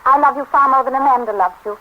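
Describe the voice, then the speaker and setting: An actress playing a clingy, jealous young British bride in a 1930s radio comedy, gushing and needling. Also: female voice, high-pitched, quick pace, close to an everyday voice. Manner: pleading, gushing, needling questions. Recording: muffled, hissy 1930s radio broadcast.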